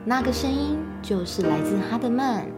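Female storyteller: high voice, expressive scripted children's narration over prominent music. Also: normal speed.

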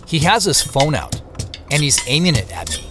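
A man's voice: fairly high pitch, sing-song, normal speed. A man playing a wary, guarded narrator telling his own story introspectively in an audio drama. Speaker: lead actor and narrator. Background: music.

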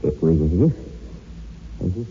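Very deep-voiced gloomy old servant. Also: male voice, big pitch swings, unhurried. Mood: ominous. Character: gloomy old male country-house servant, superstitious and stubborn. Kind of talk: 1960s radio horror drama acting. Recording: muffled, hissy old radio recording.

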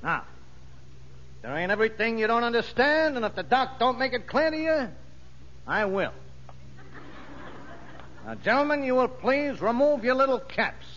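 A man's voice: extremely high pitch, big pitch swings, normal speed, long pauses, fairly bright tone, full character voice. A male actor playing a brash, bossy convict who bullies in slangy, mock-formal speech in a 1950s radio drama. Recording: muffled, noisy old radio broadcast.

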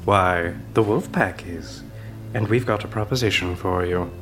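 Composed menacing officer, low-toned, authoritative, measured, audio drama, music underneath. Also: male voice. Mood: coolly commanding. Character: composed, commanding male officer of a menacing police pack.

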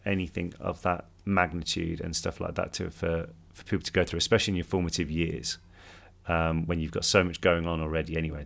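A man's voice: sits low, creaky, fairly bright tone. A man co-hosting, answering viewer questions in casual chat, upbeat and sincere.

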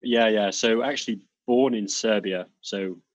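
A man in his thirties with a British accent, a guest on a podcast who talks in a relaxed, easygoing way with a husky voice. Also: on the low side, measured pace, no room sound. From UK, South Wales. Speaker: guest, fitness instructor. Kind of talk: casual interview chat. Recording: dull, lo-fi dry recording.

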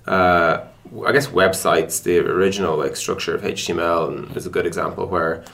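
A man's voice: deep voice, fairly even intonation, fairly quick, vocal fry, fairly bright tone. A man explaining things conversationally in a casual podcast interview, feeling his way toward words as he goes. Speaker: tech product executive being interviewed.

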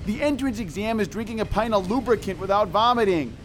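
A performer playing an overeager young tagalong who whines and pleads to be included. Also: male voice, high-pitched, brisk, slight background noise. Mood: pleading. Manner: whiny, pleading, excitable. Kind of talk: audio drama acting.

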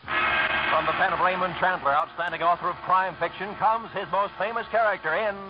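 A man announcing an old-time radio drama in a booming, formal style. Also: fairly even intonation, moderate pace. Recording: muffled old radio with music.

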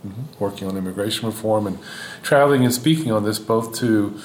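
A man from Houston, an Old Testament scholar, talking as a podcast guest in a thoughtful, measured way with frequent fillers. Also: fairly low pitch. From Houston, Texas, United States. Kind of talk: podcast interview. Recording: roomy sound with faint ambient noise.

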